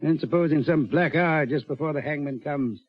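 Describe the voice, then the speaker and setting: Man acting stout gentleman, earnest, persuasive, slightly high voice, old radio drama, muffled vintage recording. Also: moderate pace, lightly acted. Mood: earnest, pressing.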